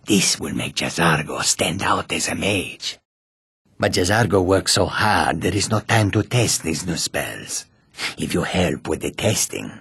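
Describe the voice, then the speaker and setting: A proud, sly feline mage from a fantasy video game. The voice is deep and very raspy. The phrasing is formal and measured, and the recording is dry and close. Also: male voice, no echo, exaggerated voice. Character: proud, sly male cat-person mage, speaks in third person. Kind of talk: video game character dialogue.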